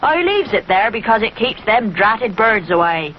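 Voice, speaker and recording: A woman voicing a grumbly old male farmer in a storybook, with a gruff rustic drawl. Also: mid-range voice, sing-song, normal speed, highs cut off, slight background noise, lightly acted.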